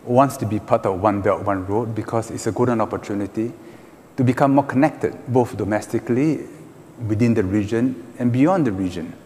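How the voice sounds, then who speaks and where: A man on a conference panel, arguing his points in a measured, emphatic way with hand gestures. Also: on the low side, darkish tone, a little hiss or hum. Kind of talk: panel discussion. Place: large conference hall stage. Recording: reverberant conference hall.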